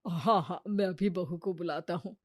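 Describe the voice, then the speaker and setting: A woman voicing a mild, reasonable man of the family in a cartoon story, soft-spoken and placating. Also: lowish voice, normal speed, no room sound, studio quality, pitched below the performer's own voice, natural voice. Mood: welcoming. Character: mild, reasonable men of the family, husband and father-in-law.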